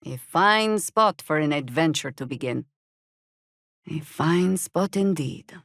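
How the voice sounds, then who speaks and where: A seasoned, battle-worn heroine from a fantasy video game. She is a middle-aged woman with a fairly low voice and a wry, measured, dramatic delivery. The sound is very dry, with no room around it. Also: animated, vocal fry, a bit bright, no echo, full character voice. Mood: wry, fond. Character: seasoned, wry female adventurer, battle-worn mother and druid. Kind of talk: video game character dialogue and spell calls.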